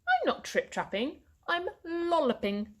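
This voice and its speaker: A woman's voice: very high voice, huge pitch swings, measured pace, a bit bright, pitched above the performer's own voice, exaggerated voice. A woman voicing a crafty, pleading rabbit in a children's storybook, with a light delivery. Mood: matter-of-fact.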